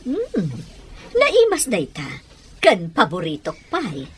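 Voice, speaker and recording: Casting: actress as skeptical, protective mother, husky voice with huge melodic swings, family audio drama. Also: mid-range voice, huge pitch swings, slow pace, full character voice. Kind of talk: Ilocano audio drama acting.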